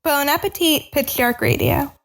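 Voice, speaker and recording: A woman acts out a cannibal doctor with a notably high voice in a spooky comic radio play. The delivery is dry and matter-of-fact, treating ghoulish matters as everyday advice. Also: moderate pace, fairly bright tone. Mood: cheerfully ghoulish. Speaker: advice columnist.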